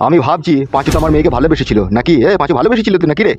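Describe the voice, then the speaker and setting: A man's voice: on the high side, expressive, rapid-fire, a little mellow, dull audio, slight background noise, natural voice. A man voicing an angry middle-aged village politician in a comedy cartoon, domineering and threatening. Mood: bossy. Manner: threatening, foul-mouthed, domineering. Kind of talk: amateur comedy cartoon dialogue. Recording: music behind the voice.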